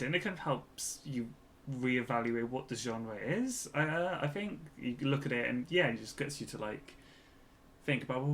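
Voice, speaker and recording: A man co-hosting a podcast in casual conversation, speaking tentatively and haltingly as he thinks aloud. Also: medium pitch, fairly bright tone, slight background noise. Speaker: podcast co-host.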